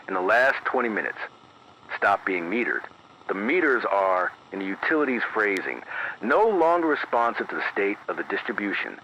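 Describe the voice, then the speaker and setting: A man acts out a grave male emergency announcer in an analog horror radio play. His low voice has a crisp, forceful edge and moves widely in pitch, yet the delivery stays formal, measured and deadpan. It comes through an AM radio filter. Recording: muffled lo-fi radio broadcast, clear static noise.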